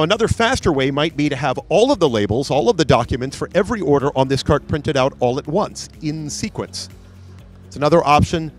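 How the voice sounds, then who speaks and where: Trade-show presenter, man, 40s, salesy and upbeat, handheld mic, faint music under. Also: on the high side. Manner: upbeat, salesy, pointing things out. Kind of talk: live product demo narration. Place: large convention hall trade booth.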